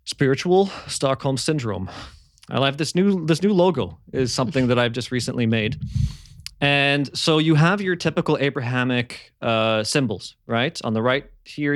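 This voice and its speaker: A man's voice: medium pitch, normal speed, no room sound, studio quality. A man hosting a casual podcast chat. He rambles and repeats words while he searches for what to say. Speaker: podcast host. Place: home in eastern Germany.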